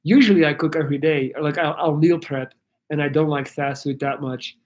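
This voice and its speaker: A man from Belgium chatting casually on a livestream, answering viewer questions in a rambling, halting way with many restarts. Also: fairly high pitch, a little mellow. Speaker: YouTuber answering live chat.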